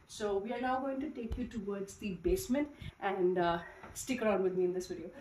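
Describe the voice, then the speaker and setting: A woman's voice: medium pitch, fairly quick, a little mellow, far from the mic, slight background noise. Chatty woman in echoey empty house. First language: Punjabi. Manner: chatty, walks while talking, frequent uh fillers. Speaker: vlogger. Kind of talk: casual house tour. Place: empty house, indoors, bare rooms. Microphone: handheld camera at a distance. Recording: echoey empty rooms, voice from off mic.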